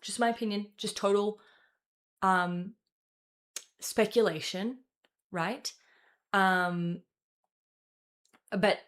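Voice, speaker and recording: A young woman talks through the news from her desk in a loose, off-the-cuff commentary voice, with a middle-pitched tone that sits right where most women's voices do. She rambles, swears and corrects herself mid-sentence as she goes. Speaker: political commentator and host. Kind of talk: casual opinion commentary to camera.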